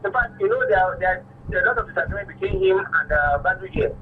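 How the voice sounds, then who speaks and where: A man with a Nigerian accent giving his opinion haltingly as a caller to a radio show. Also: high voice, recorded from a distance. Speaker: phone-in caller. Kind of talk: spontaneous opinion on a radio phone-in. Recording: thin phone line with faint noise.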